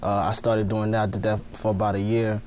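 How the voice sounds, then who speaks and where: A man's voice: on the low side, flat delivery, fairly quick, a little mellow, a little hiss or hum. A young man from Ghana, a fashion designer guest on a TV interview, talking casually with lots of fillers and "you know what I mean.".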